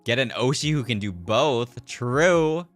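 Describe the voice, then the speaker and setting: A man's voice: medium pitch, animated, slow pace, no room sound. A man who streams as a virtual character, chatting casually to live viewers in a rambling, reflective way and often repeating his own phrases. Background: background music.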